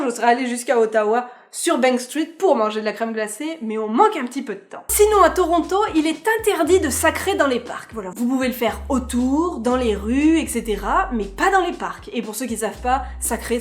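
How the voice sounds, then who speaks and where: A woman's voice: a little high, lively intonation, fairly quick, few pauses. A young woman hosting a casual vlog, playful and chatty, with joking asides. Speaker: vlogger host.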